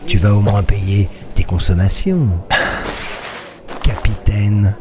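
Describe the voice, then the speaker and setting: A man playing a sly, mocking alien crime boss in an audio drama, with theatrical, drawn-out phrasing. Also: on the low side. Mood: mocking, menacing. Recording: music behind the voice. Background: dramatic music.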